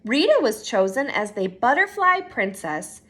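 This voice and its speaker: Very high-pitched woman reading a storybook. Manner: expressive, dramatic, deliberate teacher-like delivery. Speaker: storyteller reading aloud to children. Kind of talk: children's picture book read-aloud.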